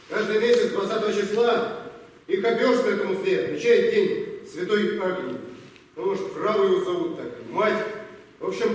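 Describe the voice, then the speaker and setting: A man acts out a weary but commanding wartime colonel in a radio drama. The voice is high for a man, persuasive and deliberate in short phrases. It sounds distant, washed in heavy hall echo. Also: lightly acted. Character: wartime army colonel, a firm, weary, persuasive superior briefing his officer. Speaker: amateur stage actor. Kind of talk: radio play acting. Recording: echoey hall, far from mic.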